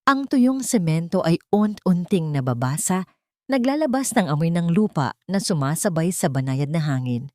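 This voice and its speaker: A woman with a Filipino accent reads a scripted dramatic story in a measured, solemn storytelling manner. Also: lowish voice, a little mellow, no echo, clean studio sound. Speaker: story narrator.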